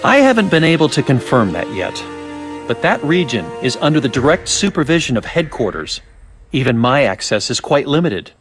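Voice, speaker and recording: An actor plays a middle-aged male scientist in a game cutscene, genial and evasive. His mid-pitched voice moves with lively swings, and his phrasing is measured, courteous and wordy. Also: soft background music, lightly acted. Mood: calm, probing. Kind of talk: video game cutscene voice acting.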